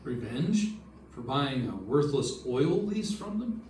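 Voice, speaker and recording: A man's voice: medium pitch, sing-song, slow, a little mellow. Man playing a skeptical radio detective, echoey distant sound. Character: hard-boiled male insurance investigator, wry and skeptical. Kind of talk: old-time radio detective drama acting. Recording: echoey room, distant mic, background noise.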